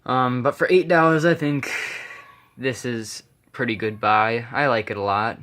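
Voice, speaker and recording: A man's voice: medium pitch, fairly even intonation, unhurried, a bit bright. A man giving a casual, unscripted hands-on walkthrough, hesitant and rambling, often correcting himself. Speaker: hobbyist vlogger. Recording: noisy handheld camera recording, clicks.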